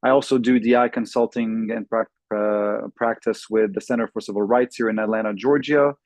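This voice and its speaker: A man's voice: lowish voice, fairly even intonation, moderate pace, a little mellow, no room sound. A man from Lebanon speaks fluently, listing things one after another with the odd um. He is introducing himself as a panelist. The sound is dry, as on a video call. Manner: fluent, listing, with filler ums. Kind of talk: self-introduction on a webinar panel.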